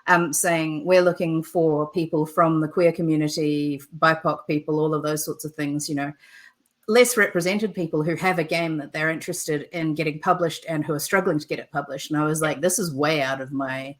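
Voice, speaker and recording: A woman's voice: low-pitched, steady tone, moderate pace. A woman, a game designer chatting casually in an interview, with lots of "um" fillers and easy laughter. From New Zealand.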